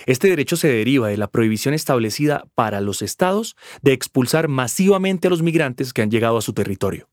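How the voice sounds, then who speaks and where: A man playing a lawyer who patiently explains migrants' rights in a measured, formal way. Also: mid-range voice, expressive, dry recording, studio quality. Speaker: lawyer at a public rights office. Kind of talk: scripted explanatory radio drama.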